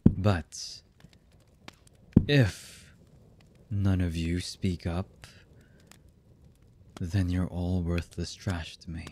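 An actor playing a cold, heartless villain boss in an ASMR roleplay, with a husky voice and a menacing, mock-polite manner. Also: male voice, deep voice, level tone, extremely slow, long pauses, warm tone, slight background noise. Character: cold, heartless male villain boss, calmly threatening captives.

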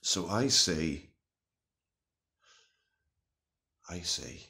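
A man in his thirties playing an anxious, earnest, melodramatic self-styled warrior in a halting, mock-serious monologue to camera. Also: sits low, expressive, vocal fry, bright, forceful tone, full character voice. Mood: solemn. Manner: halting, grave, deliberate delivery with long pauses. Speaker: comic video responder. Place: small room indoors.

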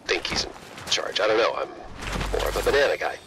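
A quirky, easygoing agent guiding a video game player. His delivery is casual and wry, with very animated pitch that jumps and drops. Loud game effects run behind him. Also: brisk, creaky, background noise, heavily acted. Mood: wry, musing. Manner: casual, wry, hesitant asides.

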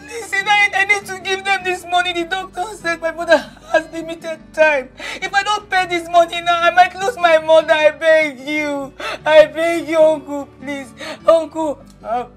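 A woman's voice: extremely high pitch, steady tone, normal speed, few pauses, soft background music. An actress with a Nigerian accent playing a desperate young woman who begs and wails through tears in a film melodrama. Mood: tearful pleading. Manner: pleading, wailing, repetitive. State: crying. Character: desperate young woman begging her stern uncle.

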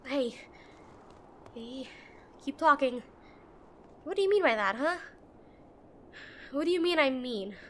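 A woman playing a brusque, protective and frightened tsundere girlfriend in a roleplay audio drama, speaking urgently and haltingly straight to the listener. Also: high-pitched, lively intonation, a bit bright, a little hiss or hum, full character voice. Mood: urgent, pleading. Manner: bossy, urgent, emotional, halting.

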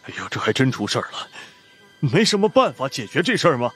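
A man's voice: mid-range voice, very animated, fast talker, husky, soft background music, pitched above the performer's own voice. A man voicing a practical, folksy, concerned village chief in an audiobook. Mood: puzzled, worried.

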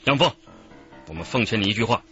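Fast, stern police detective. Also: male voice, lowish voice, expressive, fairly bright tone. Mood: forceful. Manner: brisk, authoritative. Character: stern, commanding male police interrogator. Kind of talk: radio drama acting. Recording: dull sound under music.

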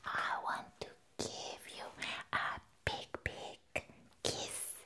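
A woman voicing a sweet, doting and possessive vampire friend in an ASMR roleplay, murmuring tenderly and affectionately. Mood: adoring. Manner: tender, breathy, slow affectionate murmuring with long pauses. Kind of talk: whispered ASMR personal-attention roleplay.

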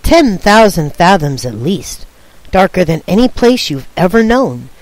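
Woman playing an animated, coaxing big sister. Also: on the low side, rough recording. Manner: persuasive, dramatic storytelling. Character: coaxing, imaginative big sister telling tall tales.

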